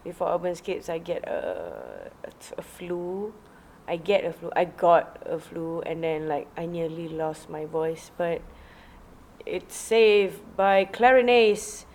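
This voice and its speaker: Slow-talking Malaysian woman, relaxed podcast co-host. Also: fairly low pitch. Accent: Malaysian English. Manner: unhurried, searching for words. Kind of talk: relaxed casual chat.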